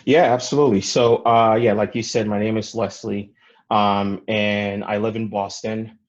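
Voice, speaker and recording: A young man from Ghana, telling his story conversationally as an interview guest, in a halting way with many fillers. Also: fairly low pitch, flat delivery, muffled recording. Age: young adult. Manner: halting, frequent "you know" fillers. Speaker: podcast guest, real estate investor.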